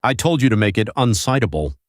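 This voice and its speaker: A man's voice: lowish voice, big pitch swings, fast, dry recording, clean studio sound. A man playing a stern, wary bureaucratic superior in an audio sci-fi drama, blunt and reproachful.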